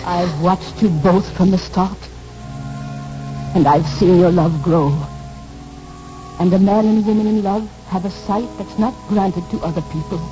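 A woman's voice: fairly low pitch, steady tone, measured pace, long pauses, close to an everyday voice. A woman playing a devoted, sentimental housekeeper in an old radio melodrama. She speaks respectfully and earnestly on a dull vintage recording with music behind her. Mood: tender, earnest. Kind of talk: 1940s radio drama acting. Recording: muffled 1940s radio, loud music behind.

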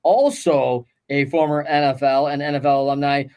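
A man's voice: a little high, steady tone, normal speed, clear, resonant tone, highs cut off. Upbeat, chatty male podcast host. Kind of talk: interview conversation.

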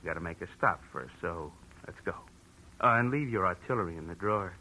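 Bright, sharp-voiced cocky gunman. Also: sits low, brisk, slight background noise, rough recording. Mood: smug, threatening. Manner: taunting, mock-friendly, commanding. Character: cocky, menacing male gunman, smooth-talking small-time crook. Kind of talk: 1940s radio crime acting.

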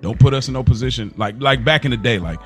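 A man's voice: medium pitch, fast talker. A man co-hosting a podcast in casual, slangy chat, repeating words emphatically to make his point. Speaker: podcast co-host. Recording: music playing behind the voice. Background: background music.

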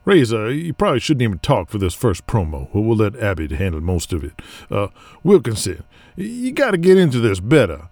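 A man's voice: fairly low pitch, huge pitch swings, a little fast, a little mellow, no room sound, exaggerated voice. A man voicing a bossy male wrestling promoter in cowboy gear, coaching his wrestlers impatiently.

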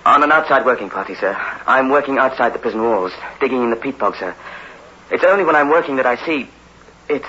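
An actor playing a frightened young prisoner in a 1960s radio drama, anxious, deferential and halting as he pleads. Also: medium pitch, lively intonation, pushed and bright. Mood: haunted fear. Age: young adult. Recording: muffled, noisy old radio recording.

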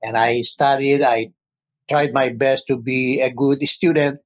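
A man from the Philippines in his sixties, a guest telling his story in a casual interview, often pausing with fillers. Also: mid-range voice, fairly even intonation, no room sound. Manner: hesitant, frequent uh fillers.

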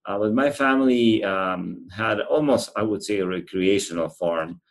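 Deep-voiced Argentine man, thoughtful and halting. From central Argentina. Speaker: animal shelter representative being interviewed. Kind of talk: conversational interview answers.

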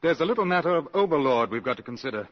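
An actor playing a suspicious British security investigator, brisk and authoritative, in 1950s radio drama. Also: male voice, on the high side, very animated. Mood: urgent. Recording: dull old radio recording, faint noise.

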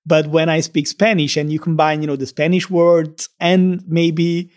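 A man from Naples with a Neapolitan Italian accent, hosting a relaxed interview in English and laughing easily. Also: fairly high pitch, moderate pace. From Naples, Italy. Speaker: podcast host. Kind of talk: conversational interview.

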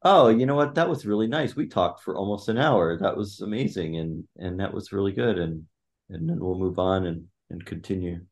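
A middle-aged man with a deep, low-pitched voice talks through his family life in a relaxed interview. He speaks reflectively and in fits and starts, full of fillers and restarts. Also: moderate pace. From Thailand. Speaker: podcast guest. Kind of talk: conversational interview.

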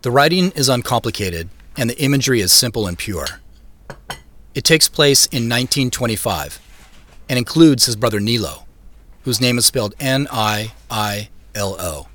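A man's voice: mid-range voice, expressive, normal speed, pushed and bright. An actor playing a solitary, private man who narrates his own story in an understated, conversational, matter-of-fact way. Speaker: narrator of an audio drama. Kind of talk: first-person scripted narration.

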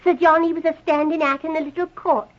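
An actress playing a loyal, simple English housemaid with a working-class accent, deferential and earnest, in 1940s radio drama style. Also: female voice, high-pitched, steady tone, fast, natural voice. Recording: muffled old radio recording.

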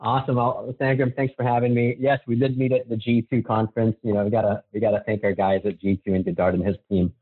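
A man from the Philippines, a marketing executive, answering interview questions in a relaxed, friendly way, often restarting his sentences. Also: fairly low pitch, fast, hardly any pauses, breathy edge. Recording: tinny phone-line sound.

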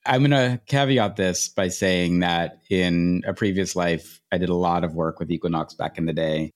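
Man, low voice, podcast co-host, casual, animated opinions. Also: moderate pace. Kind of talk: podcast chat and interview.

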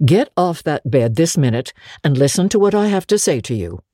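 A woman's voice: low voice, big pitch swings, moderate pace, warm tone, dry recording, studio quality. A woman voicing a stern, upright middle-aged countrywoman who reproves firmly in a dramatic storybook reading. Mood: stern scolding. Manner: scolding, severe, firm.

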